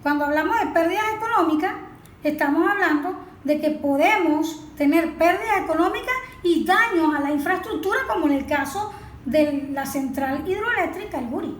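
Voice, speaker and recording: A woman with a high voice gives didactic interview explanations. She sets up questions and answers them herself. The room echoes and carries a faint noise. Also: normal speed. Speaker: environmental expert being interviewed.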